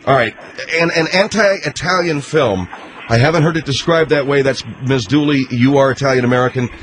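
Brisk male radio host. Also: mid-range voice, a bit bright. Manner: brisk, moves calls along. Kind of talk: talk radio hosting. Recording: hissy radio broadcast.

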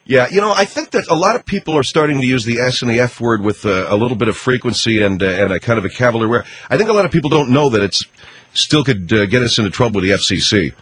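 A male talk radio host with a mid-pitched voice that moves quickly and bounces up and down in pitch. He ribs his callers in a relaxed way and steers the call back on track when it gets heated. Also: husky. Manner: easygoing, ribbing, reins in callers.

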